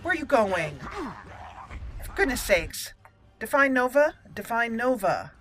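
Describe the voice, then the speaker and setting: A woman's voice: on the high side, big pitch swings, unhurried, fairly bright tone, soft background music. A woman giving casual let's play commentary as a channel host, thinking aloud and repeating words as she goes.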